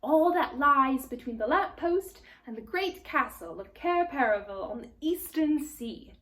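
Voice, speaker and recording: A woman's voice: high voice, animated, unhurried, a bit bright. A woman voicing a friendly, easily flustered male faun from a storybook, speaking politely and formally with hesitant repetitions. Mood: courteous, curious. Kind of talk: storybook character read aloud.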